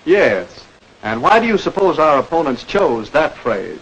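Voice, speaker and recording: An actor playing a patient campaign mentor, explaining and probing with questions in a 1940s educational film. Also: male voice, on the high side, very animated, a little slow, fairly bright tone, exaggerated voice. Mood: instructive. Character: patient campaign speechwriter coaching a young learner. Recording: noisy old 1940s film soundtrack.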